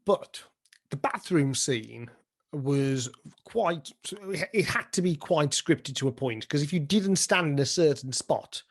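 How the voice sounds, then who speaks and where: A man's voice: a little high, animated, takes it slowly, husky. British man chatting enthusiastically in an interview. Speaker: pantomime dame and director being interviewed. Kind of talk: casual interview chat about stage craft.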